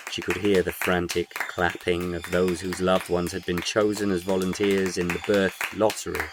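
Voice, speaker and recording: A man's voice: low voice, noisy background. A man reading scripted narration for a short audio story.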